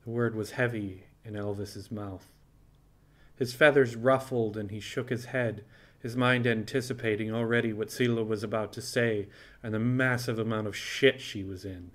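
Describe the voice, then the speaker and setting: A man's voice: on the low side, fairly even intonation, moderate pace, fairly bright tone. A man reading scripted fiction as an audiobook narrator.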